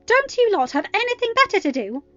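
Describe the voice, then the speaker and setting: A woman's voice: very high voice, very animated, brisk, bright, forceful tone, lo-fi sound, pitched above the performer's own voice, exaggerated voice. A woman voicing a bold, protective young female demigod in an audiobook, blunt and commanding. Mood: indignant. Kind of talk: dramatized audiobook narration.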